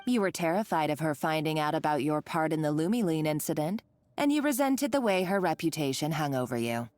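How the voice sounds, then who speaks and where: A woman's voice: low-pitched, lively intonation, a little fast, darkish tone, dry recording. A woman voicing a scheming, spiteful villain in a video game, who talks in a taunting, condescending and bossy way. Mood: gloating. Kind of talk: game voice acting. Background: faint game music.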